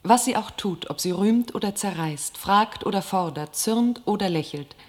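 A woman reading scripted quotations aloud in a measured, declarative way. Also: medium pitch, animated, a little mellow.

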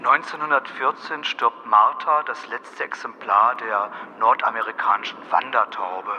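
A man's voice: mid-range voice, a little slow, bright, forceful tone, breathy edge, dull audio, exaggerated voice. A man reciting scripted lines as one narrator in an audio drama. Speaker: chorus narrator. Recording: long reverb, faint outdoor street noise.

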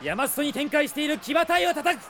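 A man's voice: very high-pitched, expressive, brisk, fairly bright tone, slight background noise, full character voice. An actor playing a brave, earnest young prince who commands his army resolutely in a fantasy audio drama. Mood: rallying, commanding. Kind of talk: Japanese fantasy drama CD acting.

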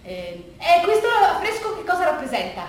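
Woman acting a flustered, high-voiced stammering restorer, echoey. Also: sing-song. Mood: awkward, nervous. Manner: halting, stammering, repeating words. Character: flustered, stammering church fresco restorer called Gordon. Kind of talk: theatrical monologue for radio, narrating and acting. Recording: echoey room, distant mic, faint noise.

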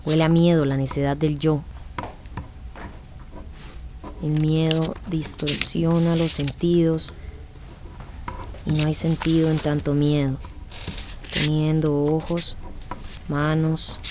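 A woman's voice: sits low, flat delivery, takes it slowly, pauses often, background noise. A woman with a Costa Rican accent playing a defensive, withdrawn young adult daughter in a radio soap opera. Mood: brooding, poetic.

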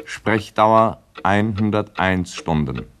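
An actor playing an impassive timekeeper in a radio play, making terse, matter-of-fact announcements over a slightly noisy recording with a ticking clock. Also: male voice, on the low side, lively intonation, slow pace, a bit bright, smooth, soft background music. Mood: impassive, factual. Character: impassive male timekeeper announcing hours of talking.

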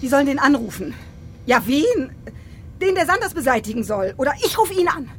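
An actress playing a tough, hot-tempered police investigator who is blunt, commanding and sarcastic, in a radio crime drama. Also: female voice, sits high, big pitch swings, a little fast, slight background noise. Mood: furious, shouting.

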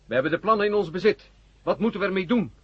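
A man's voice: high voice, animated, racing, slightly rough, dry recording. An actor in a 1970 radio drama playing an obedient, indifferent space crewman who speaks in a curt, dutiful way. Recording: muffled old radio recording with hiss.

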